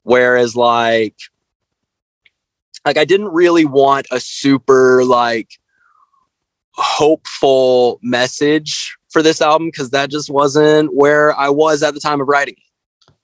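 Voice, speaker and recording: A man with a mid-pitched voice talks informally as a guest in an interview. His delivery is halting and conversational, full of "like" as he works out his thoughts. The sound is close and dry. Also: steady tone, dry recording. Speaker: interview guest, band frontman. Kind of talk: casual interview chat.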